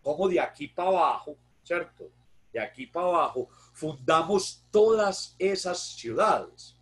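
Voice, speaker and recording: A man's voice: a little high, lively intonation, measured pace. A man with a paisa accent from Antioquia giving a conversational history lecture online, often adding tag questions and correcting himself. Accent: Paisa Colombian Spanish. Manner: conversational, warm greetings, frequent tag questions, self-corrections. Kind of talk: virtual history talk to an online audience.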